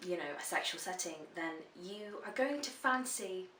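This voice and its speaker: A chatty woman in her twenties answering questions for her vlog, blunt and casual, with plenty of swearing. Her voice sits on the lower side and sounds bright and forceful. It is recorded from a slight distance in a roomy, echoing space. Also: expressive, normal speed. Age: 20s. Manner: frank and chatty, swears freely. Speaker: dominatrix answering viewer questions. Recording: roomy echo, voice a bit far from the mic.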